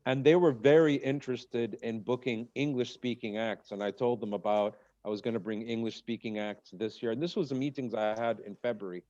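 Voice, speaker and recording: A man's voice: mid-range voice, brisk, few pauses, dull audio. A man, a comedian guest, chatting casually on a podcast in a rambling, jokey way. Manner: rambling, jokey, lively asides.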